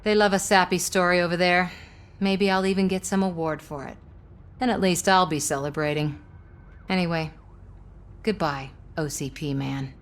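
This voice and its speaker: A woman's voice: lowish voice, steady tone, moderate pace, close to an everyday voice. A woman playing a cynical, ambitious news reporter in a video game, sardonic and flippant. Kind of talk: video game cutscene acting.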